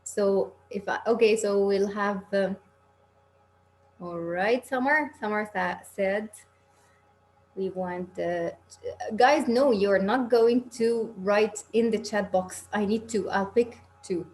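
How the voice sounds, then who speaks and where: A woman's voice: medium pitch, takes it slowly, darkish tone. A woman from Egypt teaching an English class online, talking in an encouraging, reassuring, informal conversational way. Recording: noisy online call with background hum.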